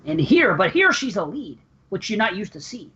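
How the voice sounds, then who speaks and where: A man co-hosting a horror podcast, rambling warmly about an actress with many fillers. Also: high voice, big pitch swings, normal speed. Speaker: podcast co-host. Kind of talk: casual chat. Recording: slightly dull, faint noise.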